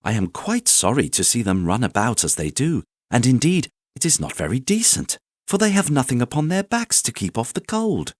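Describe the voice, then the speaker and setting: A man playing a kindly shoemaker's wife, middle-aged woman, high lilting voice, earnest and warm, lively. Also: moderate pace, hardly any pauses, dry recording, studio quality.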